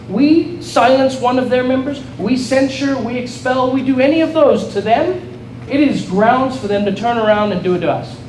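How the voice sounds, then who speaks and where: South African man, middle-aged, high-pitched, earnest political speech, distant and echoey, noisy hall. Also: a little mellow. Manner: earnest, rallying, repeats and restarts phrases. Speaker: state legislator giving a speech. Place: event hall with an audience.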